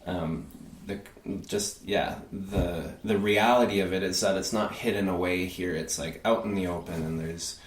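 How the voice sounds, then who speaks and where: A man in his thirties who grew up in Peru, a podcast guest speaking haltingly with many fillers and restarts. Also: deep voice, fairly even intonation. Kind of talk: casual conversation. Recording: echoey room with faint noise.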